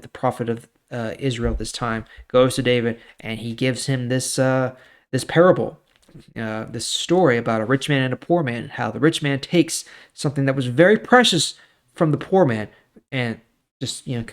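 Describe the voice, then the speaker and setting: A man hosting a scripture reading with informal, plain-spoken commentary. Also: medium pitch. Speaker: host reading and explaining scripture.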